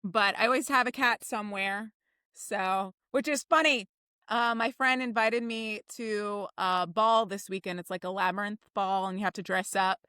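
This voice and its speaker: Woman podcast guest, American accent, higher forceful voice in a dry recording, effusive, rambling, casual. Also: moderate pace, smooth, no room sound. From New Jersey, United States. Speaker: podcast guest, theater writer and director.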